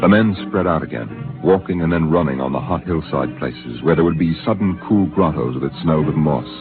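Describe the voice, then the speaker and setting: A man narrating a radio drama in a measured, deliberate storytelling manner. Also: extremely low pitch. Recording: tinny 1950s radio transcription with music.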